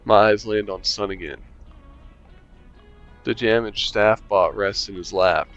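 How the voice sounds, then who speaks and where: A man's voice: lowish voice, a little slow, fairly bright tone, lo-fi sound, faint music behind. A man reading a visual novel aloud for a let's-play, chuckling and adding wry asides. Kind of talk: casual let's-play narration.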